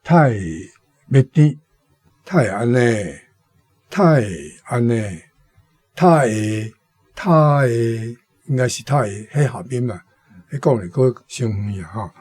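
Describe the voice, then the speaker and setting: Slow, husky Taiwanese man. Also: medium pitch, a little mellow, dry recording. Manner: halting, repetitive explaining. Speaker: guest language commentator. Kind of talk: informal word explanation.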